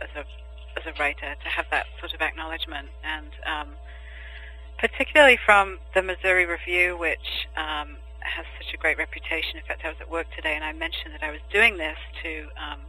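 A man who is a poet, answering podcast interview questions in a thoughtful, hesitant way with many pauses and restarts. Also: fairly high pitch, bright, forceful tone, poor audio. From England and Germany.

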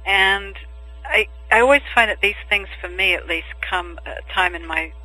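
A man who is a poet, answering podcast interview questions in a thoughtful, hesitant way with many pauses and restarts. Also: high-pitched, sharp tone, breathy edge, low-quality recording. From England and Germany.

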